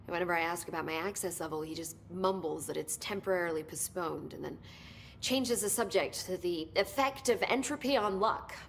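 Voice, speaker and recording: Chatty, low-pitched nerdy female scientist. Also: brisk, vocal fry, a little hiss or hum. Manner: chatty, pedantic, rambling about details. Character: eager, nerdy female research scientist, easily sidetracked. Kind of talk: video game dialogue acting.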